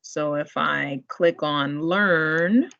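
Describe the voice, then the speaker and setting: Slow-talking woman on a video call. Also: low-pitched, creaky. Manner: relaxed, chatty, laughs often. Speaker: library staff presenter. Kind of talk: online tutorial demo. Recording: muffled online meeting audio.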